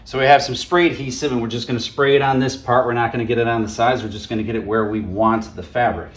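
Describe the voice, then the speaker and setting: A man's voice: medium pitch, fairly even intonation, fast talker. A man hosting a hands-on project, talking viewers through each step in a casual, folksy, wry way. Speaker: radio morning show host presenting a DIY project. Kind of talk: casual hands-on tutorial narration. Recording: music bed behind the voice. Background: clear background music.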